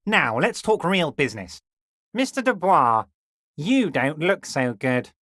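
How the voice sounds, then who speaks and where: Casting: scheming male union boss in a game, high for a man, very lively intonation, oily mock-friendliness. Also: unhurried, no echo, studio quality, heavily acted. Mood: chummy, smug. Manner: unctuous, theatrical, mock-friendly condescension. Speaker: video game voice actor. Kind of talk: scripted game character dialogue.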